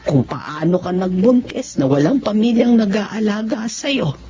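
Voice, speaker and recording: Actress as elderly Filipino mother, forgiving and tender, earnest radio-drama delivery, medium pitch, lo-fi sound over music. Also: a little slow, warm tone, lightly acted. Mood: apologetic, consoling. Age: older. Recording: dull lo-fi audio with music underneath.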